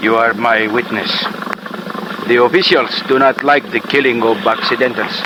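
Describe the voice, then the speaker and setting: An actor playing a tough, determined police sergeant with a Filipino accent and broken English, barking orders in a vintage radio drama. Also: male voice, fairly even intonation, normal speed, close to an everyday voice. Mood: grim. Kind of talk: 1950s radio acting. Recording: noisy old radio recording with engine rumble.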